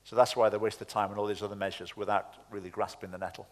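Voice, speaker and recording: A man in a public debate, arguing in a measured, reasoned way. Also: lowish voice, level tone. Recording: long hall reverb, off mic.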